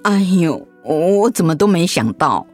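A woman's voice: lowish voice, big pitch swings, darkish tone, faint music behind. An actress playing a sick, easily hurt wife and mother in a radio drama, with a Taiwanese accent and an insistent, whiny, emotive delivery. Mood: contrite, grateful. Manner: whiny, emotive, with drawn-out sentence particles.

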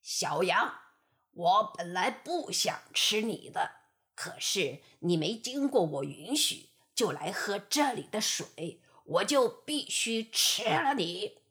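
A woman voicing a sly, cruel male wolf who talks in a low, sneering and menacing way. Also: big pitch swings, slow, fairly bright tone.

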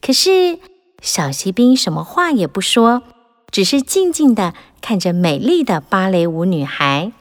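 A woman narrating a children's fairy tale in an animated, expressive way, rising and falling with the drama. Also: medium pitch, a little slow. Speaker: storyteller.

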